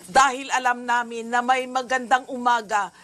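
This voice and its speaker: A woman's voice: a little high, steady tone, moderate pace, fairly bright tone, close to an everyday voice. An actress playing a defiant, hopeful woman in a Filipino television drama. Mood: solemn, resolute. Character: defiant, hopeful woman speaking for her family.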